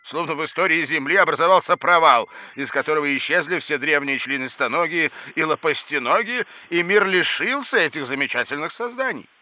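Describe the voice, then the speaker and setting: A man's voice: on the high side, fairly quick, few pauses, bright, forceful tone. A man playing a fussy, indignant, absent-minded professor in a children's educational audio drama, with a pompous, exasperated theatrical delivery. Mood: pompous, lecturing.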